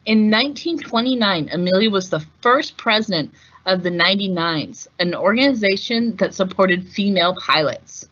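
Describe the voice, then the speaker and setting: Bright-voiced woman reading aloud, slightly muffled recording. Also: medium pitch, expressive, normal speed. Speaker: read-aloud host. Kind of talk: scripted picture-book narration.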